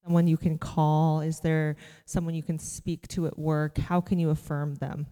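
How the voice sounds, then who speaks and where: A woman leading a church group through a guided meditation, speaking in an earnest, gentle, heartfelt way. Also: sits low, flat delivery, moderate pace, big-room sound. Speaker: worship leader.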